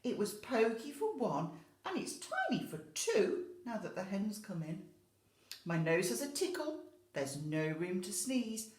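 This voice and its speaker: A woman voicing a grumbling, puzzled little old lady from a storybook, exclaiming and pleading in drawn-out phrases. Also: mid-range voice, sing-song, darkish tone, roomy sound, voice pushed up. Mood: despairing. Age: older.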